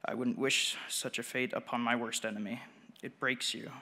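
A man's voice: mid-range voice, steady tone, normal speed, sharp tone. A young man reading a prepared speech aloud at a podium microphone in a large auditorium. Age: teens or young adult. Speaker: student public speaker at a school board meeting. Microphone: gooseneck podium microphone. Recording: long hall reverb.

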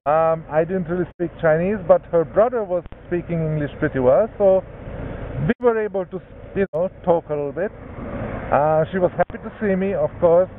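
A man's voice: high-pitched, moderate pace. A man in his thirties telling a long story while riding a scooter, rambling and correcting himself as he goes. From Hungary. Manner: rambling, self-correcting, conversational. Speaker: motovlogger. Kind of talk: casual storytelling monologue. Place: riding a scooter on city roads outdoors. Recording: tinny narrow-band audio with faint road noise.

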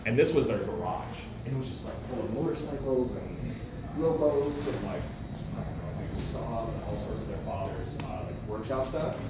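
A man's voice: medium pitch, lively intonation, normal speed. A man in his thirties, co-owner of a clothing factory, giving a casual walk-and-talk tour and saying "dude" and "like" a lot. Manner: laid-back, says "dude" and "like" a lot. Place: large indoor workshop with sewing machines. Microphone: handheld camera following him. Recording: echoey warehouse with loud background noise.

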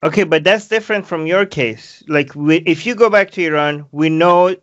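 A man's voice: a little high, moderate pace, fairly bright tone, lo-fi sound. A man in his thirties interviewing a guest over a video call, asking probing, questioning follow-ups full of fillers. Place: small room, plain wall.

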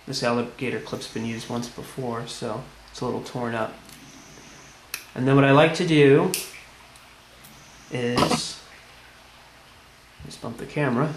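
Man, even delivery, casual tutorial, plain step-by-step explaining, roomy sound with light background noise. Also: medium pitch, measured pace, long pauses. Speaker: tutorial presenter. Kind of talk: casual hands-on how-to demonstration. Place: indoors at a tiled tabletop. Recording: echoey room, background noise.

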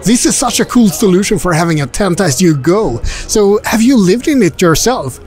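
A man's voice: high voice, lively intonation, moderate pace, darkish tone, faint music behind. A man in his forties vlogging in a busy indoor car expo hall, chatty and upbeat, asking visitors questions through a handheld mic. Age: 40s. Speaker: travel vlogger. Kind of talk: casual vlog with voice-over narration. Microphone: handheld furry mic close to the face.

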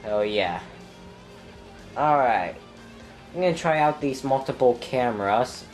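A young man with a stuffy nose and a clogged throat, commenting casually on his own racing game and thinking aloud with ums and sudden loud exclamations. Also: medium pitch, expressive, a little slow, soft background music. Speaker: gameplay commentator. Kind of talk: casual let's-play commentary.

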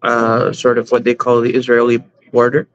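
A man in his thirties speaks over a video call from a room at home, earnest and measured, pausing with fillers between phrases. His voice stays flat in pitch. The audio is dull and lo-fi. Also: smooth. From Canada. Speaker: imam, guest. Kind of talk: interview answers.

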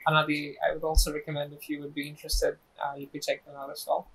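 A young man hosting a casual podcast interview from a small office on a lapel mic, asking friendly, measured questions with some fillers. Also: fairly high pitch, monotone, vocal fry, a bit bright, poor audio. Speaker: podcast host.

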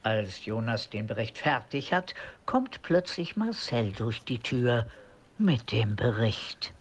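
A male storyteller reading scripted radio play narration. His mid-range voice moves up and down in a lively way. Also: medium pitch, slow, slightly rough. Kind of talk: scripted audio drama narration.

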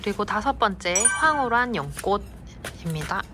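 A woman narrating a plant vlog in a gentle, unhurried way with small hesitations. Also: mid-range voice, expressive, loud music behind the voice. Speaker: succulent vlogger. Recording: noisy home recording.

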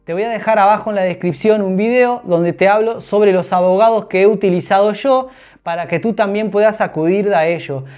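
A man's voice: high voice, a little fast, a little mellow. Earnest man in his thirties. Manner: earnest, explanatory, gestures with hands. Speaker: personal finance vlogger. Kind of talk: direct-to-camera advice. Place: small indoor room, orange wall.

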